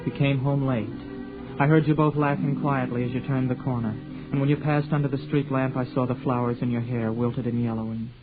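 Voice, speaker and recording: A man's voice: mid-range voice, steady tone, brisk, close to an everyday voice. Guy doing a shy wistful young man narrating, tinny old radio with music under it. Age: young adult. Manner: hesitant, soft-spoken, wistful. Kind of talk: 1940s radio acting with inner narration. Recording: tinny 1940s radio broadcast with music behind.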